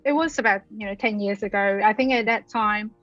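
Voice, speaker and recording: A woman from China who works as a marketing consultant, answering interview questions hesitantly with frequent pauses. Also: medium pitch, a bit bright, dull audio, soft background music. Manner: hesitant, many ums and restarts.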